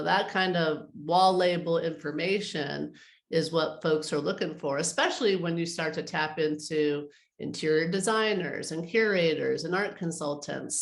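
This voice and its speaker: A woman with a slightly low voice talks as an interview guest in a clearly echoey room. She explains things conversationally, like an advisor giving practical guidance.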